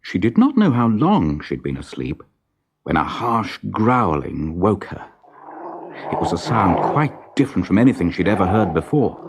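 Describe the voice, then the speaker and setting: A man narrating a children's story in a measured, dramatic, expressive manner. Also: sits low, slight background noise.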